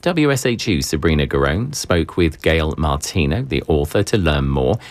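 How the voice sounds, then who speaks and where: Man, radio host, very low voice, dry close sound, measured announcing. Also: big pitch swings, no echo.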